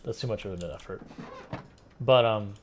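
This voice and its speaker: A man streaming a game and chatting with viewers in a relaxed, rambling way, with a soft, soothing voice. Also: lowish voice, moderate pace, background noise. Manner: relaxed, rambling, many fillers and restarts. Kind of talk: casual gameplay stream chat.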